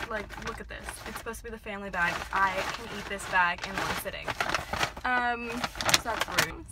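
A young American woman chatting to camera in a casual, upbeat, informal vlog style. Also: fairly high pitch. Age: young adult. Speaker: vlogger. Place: parked car and bedrooms indoors. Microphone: phone camera at arm's length. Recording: noisy phone-camera vlog audio.

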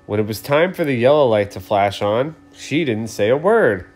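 A man's voice: medium pitch, lively intonation, normal speed, darkish tone, breathy edge, faint music behind. A man in his thirties explaining things simply and reading a storybook aloud for children. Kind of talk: casual introduction and storybook read-aloud.

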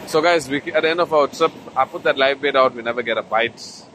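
A man's voice: medium pitch, fairly quick. A middle-aged man with a South African accent, chatting casually and rambling as he films his fishing trip. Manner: relaxed, rambling, filler-heavy. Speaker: fishing vlogger. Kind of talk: casual vlog narration. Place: open beach by the surf. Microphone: handheld camera mic. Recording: windy beach with loud surf.

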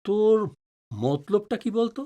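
Man playing a put-upon father, high-pitched, animated. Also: a little slow. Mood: aggrieved, wary. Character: middle-aged husband and father, concerned, put-upon. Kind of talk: audio drama acting.

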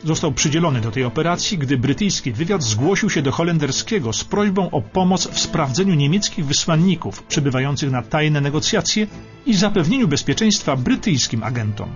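Animated, higher-pitched male documentary narrator. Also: a little fast. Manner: emphatic, suspenseful storytelling. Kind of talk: dramatic scripted documentary narration. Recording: dull radio sound over music bed.

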